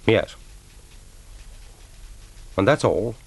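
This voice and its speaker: A cool British secret agent in a radio drama. The voice is deep, and the delivery is terse, formal and composed, as befits a naval commander. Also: male voice, animated, drawn-out words, creaky, noisy background, heavily acted. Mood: earnest, pressing.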